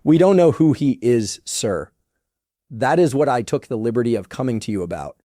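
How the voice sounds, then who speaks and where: A man's voice: mid-range voice, animated, moderate pace, warm tone, no echo, studio quality, voice pushed up, lightly acted. A man voicing a plain, earnest country gamekeeper who speaks respectfully to his master, for an audiobook. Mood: deferential, earnest. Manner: respectful, plain-spoken servant. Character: deferential rustic English head gamekeeper, plain and earnest. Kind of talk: audiobook character voice.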